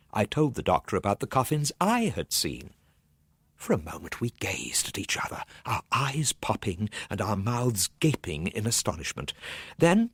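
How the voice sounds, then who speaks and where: A man's voice: fairly low pitch, breathy edge, dry recording. A man narrating a comic ghost story in the first person, theatrically, with precise old-fashioned diction. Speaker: storyteller, actor.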